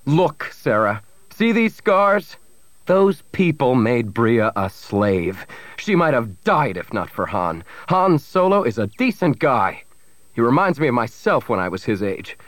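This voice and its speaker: A man's voice: medium pitch, big pitch swings, moderate pace, fairly bright tone, no room sound. A man voicing a stern, fair-minded older father who speaks with firm command in a story.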